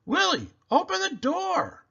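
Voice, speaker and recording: A man voicing an excitable, easygoing uncle in a children's storybook, with enthusiastic, drawn-out delivery. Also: very high voice, vocal fry, muffled recording, pitched above the performer's own voice, heavily acted. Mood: calling out.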